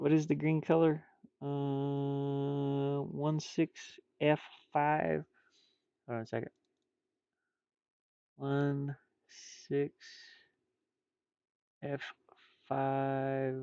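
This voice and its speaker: A man chatting casually on a livestream while drawing, rambling and muttering to himself, with bursts of loudness. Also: mid-range voice, clean tone, no echo, lo-fi sound. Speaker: streamer drawing digital art.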